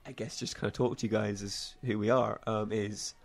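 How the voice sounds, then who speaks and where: A man's voice: lowish voice, level tone, moderate pace, gravelly. A man hosting a casual group discussion, talking in a chummy, playful and teasing way. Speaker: radio talk show host.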